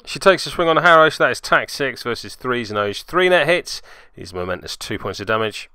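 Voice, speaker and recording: A man gives a play-by-play voice-over of a tabletop game he is playing. His voice is bright and crisp, recorded with very little room sound. He talks with dry sarcasm and pokes fun at himself. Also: mid-range voice, animated, a little fast, dry recording. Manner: dry, self-mocking, sarcastic humour. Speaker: player and narrator of a tabletop game match.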